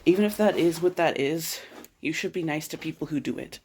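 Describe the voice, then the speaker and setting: A man's voice: a little high, a little fast, a little mellow. A man chatting casually to his vlog in a rambling, blunt way that slips into swearing. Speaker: vlogger. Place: bedroom at home. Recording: noisy home recording with clicks.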